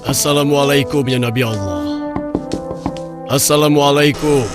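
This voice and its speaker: A man's voice: medium pitch, measured pace, music in the background, lightly acted. A man voicing an aggrieved, earnest nobleman who speaks insistently in a religious cartoon. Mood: expectant. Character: aggrieved male nobleman pleading with his prophet. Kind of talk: cartoon religious story dialogue.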